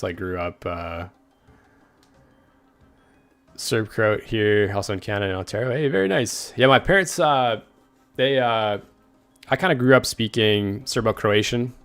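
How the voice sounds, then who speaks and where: A young man with a low, gravelly voice talks loosely while streaming a game. His talk is relaxed and rambling, full of fillers as he answers chat. Faint game music runs underneath. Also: normal speed. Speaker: gaming livestreamer. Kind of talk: casual livestream chat.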